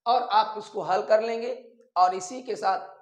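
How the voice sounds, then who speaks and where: North indian grammar teacher, takes it real slow, repeats stuff and quizzes students, roomy echo. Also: medium pitch. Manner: instructive, repeats phrases and poses questions to students. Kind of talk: explanatory grammar lesson. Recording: roomy, echoey sound.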